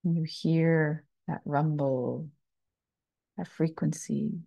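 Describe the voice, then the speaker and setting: A woman meditation teacher guiding a body scan, speaking calmly and soothingly in short phrases with long pauses. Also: sits low, vocal fry, no echo, dull audio. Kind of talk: slow guided meditation, body scan narration.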